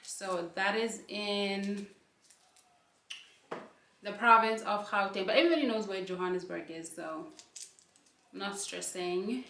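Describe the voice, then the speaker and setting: A woman's voice: mid-range voice, a little slow, long pauses, fairly bright tone, a little hiss or hum. A young woman, chatting to the camera between bites of food while she hosts her channel. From South Africa. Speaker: channel host. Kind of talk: casual chat while eating. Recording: echoey room, mic at a distance.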